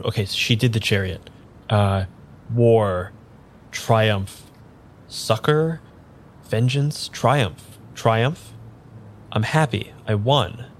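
A man's voice: mid-range voice, a little hiss or hum, natural voice. A man in an improvised audio drama playing a frantic, foul-mouthed amnesiac who stammers and mutters as he works through tarot cards. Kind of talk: improvised audio drama, halting naturalistic delivery.

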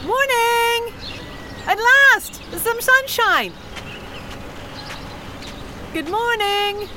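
Chirpy, high-voiced older society lady. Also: extremely slow, very noisy, exaggerated voice. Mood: cheerful, polite. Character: genteel, busy English society widow, bright and chatty. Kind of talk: audio drama acting.

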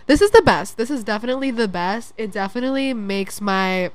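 A woman's voice: fairly high pitch, animated, a little fast. A young woman hosting a casual solo podcast, chatting loosely with lots of "like" and swearing, her voice congested from allergies. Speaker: podcast host. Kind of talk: casual chat.

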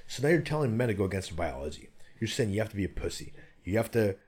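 A man's voice: on the low side, big pitch swings, rapid-fire, darkish tone, slightly rough. A man telling stories and arguing his views in casual chat, blunt, profane and emphatic.

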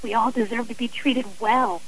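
A woman's voice: a little high, steady tone, normal speed, rough recording. A woman speaking as an author and guest on a radio interview, earnest and emphatic, often repeating phrases for stress.

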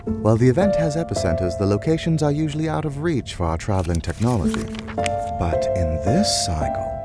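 Earnest male scholar for radio play. Formal, hesitant, animated intonation, music bed. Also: fairly low pitch. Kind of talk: audio drama acting.

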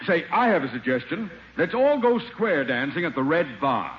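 Pushy energetic showy gent, old radio comedy, highish voice all over the place, noisy old broadcast. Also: male voice, normal speed, reverberant, highs cut off. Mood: hearty. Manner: hearty, showy, emphatic. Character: vigorous, pushy, hearty gentleman suitor. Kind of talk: 1950s radio comedy acting.

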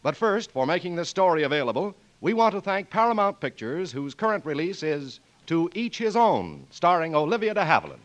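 A man's voice: on the high side, very animated, a little fast, hardly any pauses, dull audio. A man announcing in a 1940s radio style, reading scripted sponsor copy with polished, emphatic delivery.

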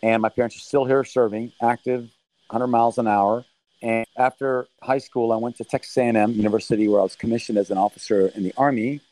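A middle-aged man who grew up in Thailand talks earnestly about his relief work, keeping a steady, measured pace. His voice sits at an ordinary pitch for a man and is recorded close and dry, with no room echo. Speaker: relief mission leader. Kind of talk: remote interview answers.

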